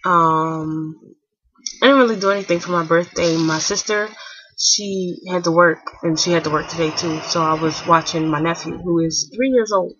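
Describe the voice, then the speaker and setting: A woman in her twenties chatting casually to camera at home. She rambles with lots of fillers and restarts, and keeps her voice soft so she won't wake a napping child. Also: lowish voice, moderate pace, slightly rough, no room sound, highs cut off. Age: 20s. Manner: speaking softly to keep a napping child asleep. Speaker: hair vlogger.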